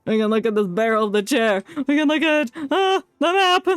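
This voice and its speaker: A streamer chatting casually and playfully through live gameplay, excitable and often muttering to themselves. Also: high voice, moderate pace, clean tone, no echo, clean studio sound. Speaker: game streamer. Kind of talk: casual live gaming commentary. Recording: music behind the voice.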